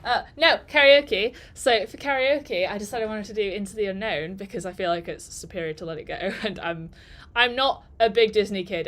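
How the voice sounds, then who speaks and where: A woman's voice: medium pitch, fairly bright tone. A woman in her twenties, chatting and telling stories on a live work stream, rambling and laughing between thoughts. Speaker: digital marketer vlogger. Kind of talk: casual storytelling during a live work stream.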